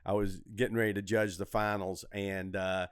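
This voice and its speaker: A man's voice: sits low, flat delivery, normal speed, clear, resonant tone, no echo, clean studio sound. An older man in a casual podcast conversation, hosting and telling stories in a rambling, repetitive way. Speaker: comedy podcast host and club owner.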